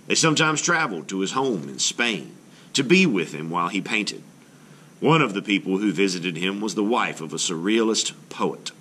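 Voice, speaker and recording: A man reading a children's book aloud as an art teacher, in an expressive, explanatory way meant for children. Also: fairly low pitch, slight background noise.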